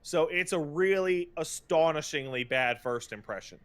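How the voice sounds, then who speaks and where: A man's voice: on the high side, lively intonation, fairly bright tone, clean tone. A man hosting a podcast, ranting casually about video games in an emphatic, profane, stop-and-start way. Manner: emphatic, profane, halting with repetitions and mocking paraphrases. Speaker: podcast host.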